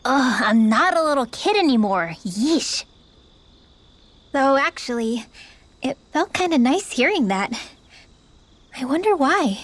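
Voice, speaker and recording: A woman's voice: sits high, big pitch swings, slow, long pauses, exaggerated voice. An actress playing a feisty, sassy, defensive teenage mage girl in a video game cutscene. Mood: softer, pensive. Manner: snippy, dismissive. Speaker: voice actor in a fantasy video game.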